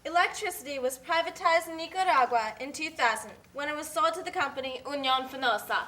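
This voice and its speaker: Woman as puppet show narrator reading out facts, high bright voice, informative. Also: fairly quick. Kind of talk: scripted explanatory narration.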